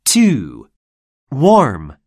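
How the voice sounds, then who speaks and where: A woman reading a scripted textbook listening drill, saying words slowly and deliberately for learners to repeat. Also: very deep voice, wildly swooping pitch, dark tone, dry recording, clean studio sound. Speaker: lesson narrator.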